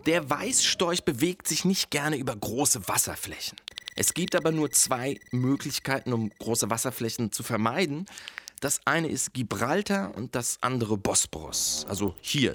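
Pedantic bird-watcher, swooping pitch. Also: male voice, a little hiss or hum. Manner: pedantic, hushed, often whispering. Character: obsessive, officious male bird-watcher guarding his patrol area. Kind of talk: audio drama monologue, field lecture. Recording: music behind the voice.

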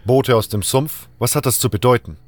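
A distrustful guard at a camp gate in a fantasy audio play , voiced by a male narrator. He speaks bluntly and sternly in a medium-pitched voice, always challenging. Music plays faintly underneath. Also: a little fast, a little mellow, natural voice. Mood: suspicious.

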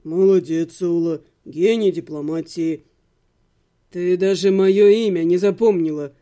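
A woman's voice: on the low side, steady tone, a little slow, warm tone, voice pushed down, natural voice. A woman narrator plays a sarcastic young male sidekick in a fantasy audiobook. The voice drops well down and grumbles with gloomy irony.

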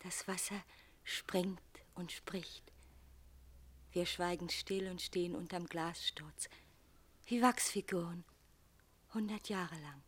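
An actress playing a young, dreamy lost bride in a German radio drama, lyrical and often near a whisper. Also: female voice, fairly low pitch, slow pace, pauses often, slightly rough.

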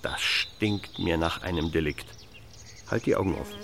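A gruff, officious village policeman in an audio drama. His low voice crackles with gravel and his phrases come clipped. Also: moderate pace, a bit bright. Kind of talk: German audio drama acting.

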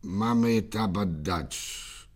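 An actor playing a laconic, calculating Chicago gangster in a radio play, muttering in short repeated phrases. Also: male voice, on the low side, very slow. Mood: menacing, probing. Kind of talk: 1950s German radio play acting.

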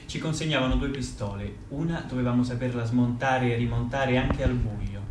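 Male actor for radio play: detached military-trained shooter, average pitch, precise, disciplined recollection. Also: normal speed, crowd noise, natural voice. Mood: firm, proud. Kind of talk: dramatic monologue in radio theatre.

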